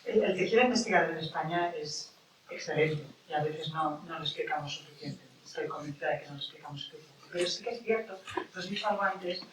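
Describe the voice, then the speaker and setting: A woman moderating a panel discussion, speaking in a measured, thoughtful way with tag questions. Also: deep voice. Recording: echoey room from a distance with noise.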